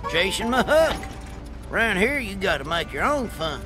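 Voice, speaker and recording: A man's voice: sits high, very animated, moderate pace, over music. A man voicing a simple, good-natured rusty tow truck with a Southern US accent, folksy and rambling, in a cartoon game. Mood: playful. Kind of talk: animated video game acting.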